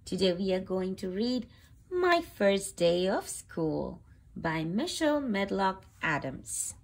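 A woman reading a picture book aloud for young children, with deliberate phrasing and expressive emphasis. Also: medium pitch, creaky.

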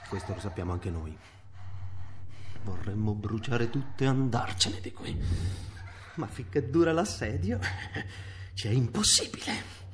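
A man's voice: mid-range voice, expressive, a little slow, pauses often, a bit bright. An actor in an Italian radio drama playing a lewd, capricious king of Castile who chuckles and speaks with pompous teasing. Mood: haughty. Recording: noisy radio drama recording.

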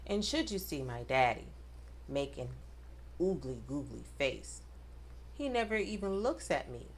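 A woman reading a rhyming picture book aloud to children, with expressive, dramatic emphasis. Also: deep voice, unhurried, slight background noise. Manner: addresses children directly, dramatic emphasis on rhymes.

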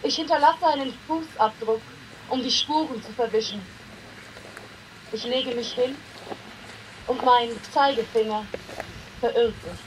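A woman with a high voice reads poetry aloud at a slow pace. Her phrasing is measured and deliberate, as in a literary reading, with space left between the short lines. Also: slight background noise, rough recording. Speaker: poetry reader.

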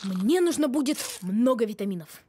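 A woman voicing an earnest, thoughtful, determined little boy in an animated cartoon, measured and sensible. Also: fairly high pitch, slight background noise, lightly acted. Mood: glum, earnest.

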